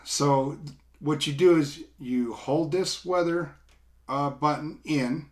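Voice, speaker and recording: A middle-aged man giving a casual, unscripted product demo, explaining step by step in an informal, halting way. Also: on the high side. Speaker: product reviewer. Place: small indoor room, seated at a table.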